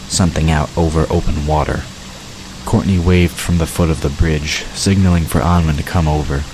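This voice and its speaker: A man reading scripted story narration for an audio drama, with watery ambience behind him. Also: very deep voice. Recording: constant rain-like hiss behind voice.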